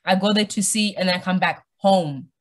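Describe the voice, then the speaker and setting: Young woman, mid-range voice, podcast guest, casual conversation, slangy, animated storyteller, slips into imagined dialogue. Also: medium pitch, moderate pace. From Australia, born in Zimbabwe.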